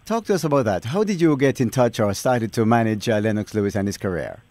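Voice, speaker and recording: Measured male radio interviewer. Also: fairly low pitch, expressive, darkish tone, no room sound. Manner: measured, filler-heavy questions. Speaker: radio host.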